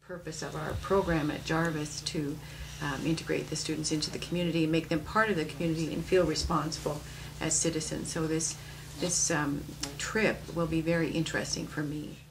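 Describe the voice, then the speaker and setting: A woman speaking softly and hesitantly in a casual interview. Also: deep voice, flat delivery, fairly quick, noisy background.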